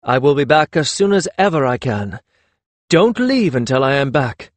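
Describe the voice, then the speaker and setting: A man's voice: mid-range voice, sing-song, normal speed, no echo, close to an everyday voice. Man playing an earnest, commanding young lord. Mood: hurried, earnest.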